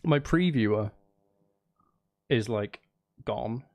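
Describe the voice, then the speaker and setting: A man's voice: mid-range voice, slow. A man chatting casually on a livestream as he composes, thinking aloud in an apologetic, self-interrupting way. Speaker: live streamer and voice performer. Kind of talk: casual livestream chat while composing music.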